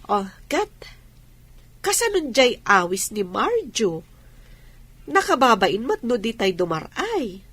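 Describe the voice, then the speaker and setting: A woman's voice: a little high, very animated, takes it slowly, pauses often, a bit bright, slightly rough, no echo, heavily acted. An actress playing a chatty, teasing, giggly woman friend in an Ilocano radio drama. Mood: teasing, curious.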